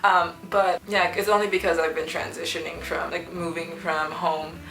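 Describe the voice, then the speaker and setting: A young woman, a student, chatting casually in a book review vlog, rambling with many fillers and restarts, with a sore throat that makes her clear it now and then. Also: fairly low pitch, flat delivery, moderate pace, fairly bright tone, reverberant, low-quality recording. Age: young adult. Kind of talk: casual chat. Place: small student apartment room.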